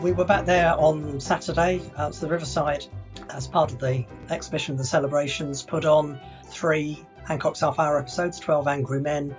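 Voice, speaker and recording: A man's voice: fairly high pitch, steady tone, normal speed, faint music behind. A man from England co-hosting a podcast in casual chat, often restarting his sentences with fillers. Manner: hesitant, many restarts and fillers. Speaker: podcast co-host.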